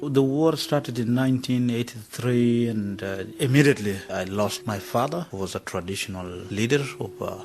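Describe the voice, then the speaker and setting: A man from South Sudan recounting his past in a measured account, pausing often with "uh". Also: medium pitch, darkish tone, faint music behind. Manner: deliberate, with frequent "uh" pauses. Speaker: interviewee.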